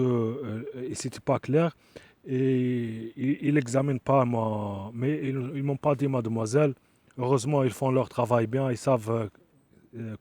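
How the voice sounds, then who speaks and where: A man giving an earnest, insistent appeal straight to camera, halting often with fillers and restarts. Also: mid-range voice, darkish tone, no echo. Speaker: asylum seeker making an appeal video. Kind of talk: direct-to-camera appeal monologue.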